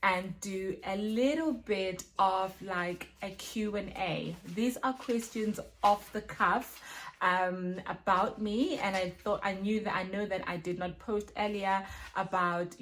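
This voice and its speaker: A woman's voice: mid-range voice, slight background noise. A woman from Zimbabwe, a mother and women's ministry leader, chatting casually through a self-filmed Q&A, recorded on her phone, with many fillers and tag questions. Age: adult with children.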